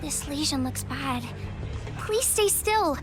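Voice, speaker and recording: An actress playing a gentle, caring and considerate young healer in a video game. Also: female voice, sits high, expressive, slow. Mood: gentle concern. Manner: soft-hearted, considerate. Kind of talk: video game character dialogue. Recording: prominent music under the voice.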